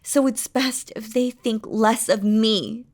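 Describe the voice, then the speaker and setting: A woman playing a devoted, regal demon queen in a romantic audio roleplay, speaking softly and formally to her lover, often dropping to a whisper. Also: on the high side, steady tone, slow. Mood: earnest, devoted. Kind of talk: scripted romantic audio roleplay, spoken intimately to the listener.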